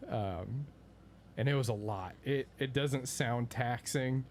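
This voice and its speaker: A young man with a soft voice, co-hosting a sports podcast in casual chat. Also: medium pitch, takes it slowly, a little hiss or hum. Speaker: podcast co-host.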